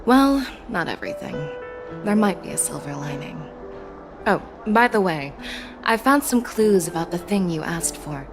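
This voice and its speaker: A woman's voice: lowish voice, animated, normal speed, music in the background. A woman acting as a composed military envoy in a video game, speaking formally and articulately. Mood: businesslike.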